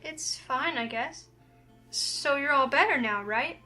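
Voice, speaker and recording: A woman voicing a curious, introspective young heroine, reading her thoughts and lines aloud from a visual novel in an earnest, expressive way. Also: high-pitched, slow, faint music behind, heavily acted.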